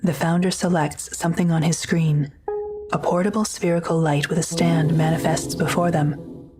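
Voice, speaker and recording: Low, even-toned female narrator. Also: moderate pace, breathy edge, faint music behind. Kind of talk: scripted audio drama narration, present tense.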